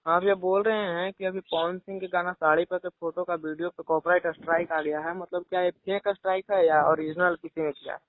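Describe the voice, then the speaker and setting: A man's voice: sits high, fairly even intonation, brisk, hardly any pauses, dry recording. A man working as a reporter, asking short questions in a phone interview. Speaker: reporter for a news channel. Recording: narrow dry phone line.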